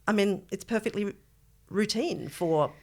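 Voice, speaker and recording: A middle-aged Australian woman co-hosting a podcast in casual chat, with an animated, wry way of talking and a habit of mimicking others. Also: mid-range voice, unhurried. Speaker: podcast co-host.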